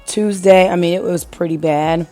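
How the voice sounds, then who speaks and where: A woman in her thirties with a hoarse, gravelly voice from being sick, chatting casually over her home decorating video and asking viewers what they think. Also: on the low side, fairly even intonation, moderate pace, dark tone, faint music behind. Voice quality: gravelly, husky. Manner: chatty, rambling, asks viewers questions. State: hoarse from illness.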